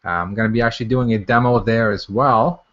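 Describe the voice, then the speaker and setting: A man's voice: mid-range voice, quick pace, fairly bright tone. A man hosting a live product launch webinar in a casual, unscripted way, informally repeating his phrases as he goes. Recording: dull, lo-fi webinar audio.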